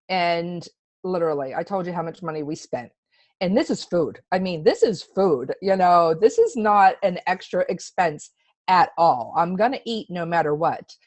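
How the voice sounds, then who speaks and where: A woman's voice: fairly low pitch, dry recording. A middle-aged woman giving a casual, chatty personal testimonial in an earnest, emphatic way. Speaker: testimonial speaker.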